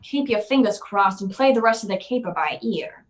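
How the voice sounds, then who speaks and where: A woman's voice: medium pitch, expressive, normal speed, noisy background, lightly acted. A woman playing a tough, wisecracking, unflappable private detective in an old-time radio drama, with clipped, slangy delivery. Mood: wry narrating.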